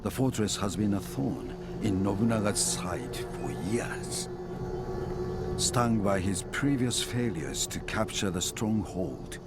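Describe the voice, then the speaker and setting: Solemn male chronicler of samurai wars, low-pitched, scripted game cutscene narration over prominent music. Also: lively intonation, takes it slowly. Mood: solemn, measured. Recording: music under the voice. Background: loud background music.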